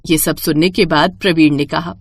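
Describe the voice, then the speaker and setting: A woman narrating a scripted moral story for a cartoon in an expressive storytelling way. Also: low voice, normal speed, slightly rough, dry recording.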